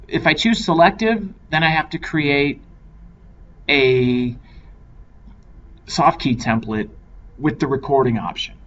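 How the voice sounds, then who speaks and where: A man giving a measured, step-by-step software tutorial as an instructor. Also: a little high. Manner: measured, explanatory step-by-step delivery. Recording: dull lo-fi microphone with clear noise.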